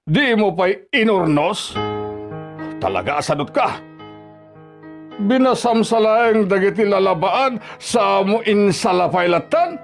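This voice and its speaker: A scornful Ilocano father-in-law, played by a male actor, pitched high and swinging sharply up and down as he scolds. It is radio drama, full of exclamations and sarcastic questions, with music underneath. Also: creaky, full character voice. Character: nagging, scornful father-in-law berating his daughter-in-law as lazy. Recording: music bed behind the dialogue.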